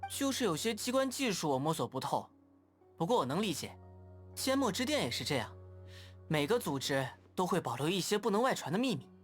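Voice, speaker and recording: An actor voicing a friendly, playful young scout in a game's dubbed voice lines. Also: male voice, sits high, sing-song, a little fast, soft background music. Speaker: game character. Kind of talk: game voice lines.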